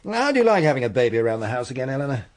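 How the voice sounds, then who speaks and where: A genial doctor played by an actor chats with easy amusement in a mid-pitched male voice. His intonation moves widely, in radio drama style. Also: very animated, rapid-fire, close to an everyday voice. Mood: affable. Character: genial doctor at a dinner party.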